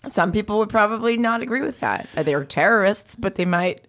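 A woman's voice: medium pitch, expressive, a little fast, a bit bright. A young woman co-hosting a podcast, chatting casually and laughing often, swearing freely as she rants. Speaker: podcast co-host.

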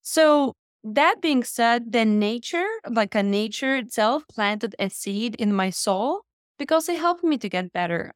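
A woman's voice: medium pitch, clear, resonant tone, dry recording, studio quality. A woman from Lithuania with a Lithuanian accent, speaking as an interview guest and telling her personal story in an earnest, emphatic way. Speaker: interview guest, health entrepreneur.

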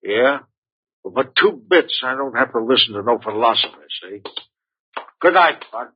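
An actor with an American accent playing a surly, streetwise panhandler who talks in tough slang in an old radio drama. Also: male voice, mid-range voice, animated, moderate pace, a little hiss or hum, natural voice. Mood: offhand, dismissive. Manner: slangy tough-guy talk. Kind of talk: 1940s-50s American radio drama acting. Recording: muffled old-time radio recording.

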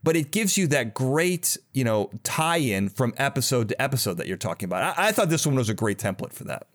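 A man's voice: mid-range voice, very animated, fairly quick. A man hosting a podcast, chatting casually and enthusiastically with rambling, conversational asides. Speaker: podcast host. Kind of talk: casual enthusiastic interview chat.

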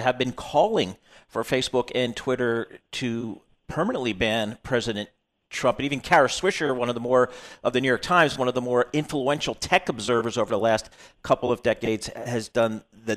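A man's voice: mid-range voice, lively intonation, moderate pace, a bit bright. A man hosting a news programme, putting questions to a guest in a conversational broadcast style. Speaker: radio news host.

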